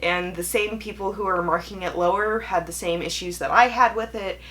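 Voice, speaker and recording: A woman's voice: mid-range voice, fairly even intonation, moderate pace, a bit bright, rough recording. A young woman talking casually and candidly in a chatty vlog monologue, correcting herself as she goes. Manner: conversational and candid, self-correcting, mimics imagined voices. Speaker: book reviewer and video host.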